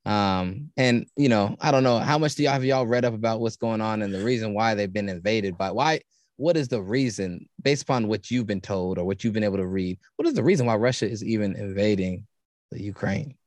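A man with a lowish voice talks in loose, rambling podcast chat, stopping and restarting his sentences often. The sound is dry and close, with no room around it. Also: darkish tone, no room sound. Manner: rambling, halting, many restarts.

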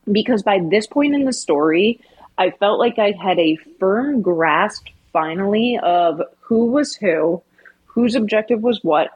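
A woman co-hosting a podcast, giving measured, analytical and opinionated takes. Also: medium pitch, slightly rough, lo-fi sound. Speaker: podcast co-host. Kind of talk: casual discussion.

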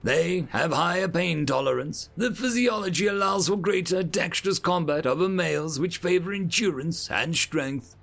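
A man voicing a calm alien military educator who lectures cadets in a measured, formal way in a sci-fi story. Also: fairly high pitch, vocal fry, soft background music, pitched above the performer's own voice. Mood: calm lecturing. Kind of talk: acted dialogue in sci-fi story narration.

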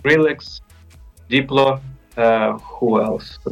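Hesitant male musician, faint music. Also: mid-range voice. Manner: halting, hesitant, many fillers. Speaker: band member, guest.

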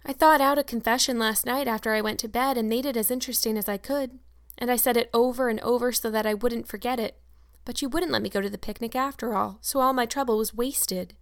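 A woman reading an audiobook voices an excitable, imaginative young girl with gushing, breathless enthusiasm. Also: fairly high pitch, fast, voice pushed up, lightly acted. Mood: weary.

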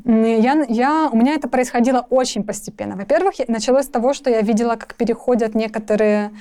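Soft-toned young woman, halting and thoughtful. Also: on the high side, clean tone. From Ukraine. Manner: thoughtful, with frequent restarts and hesitations. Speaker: interview guest. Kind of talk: conversational interview.

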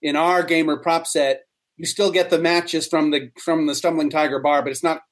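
A man's voice: a little high, steady tone, moderate pace. A man talking casually as a guest in an interview. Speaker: interview guest, prop maker.

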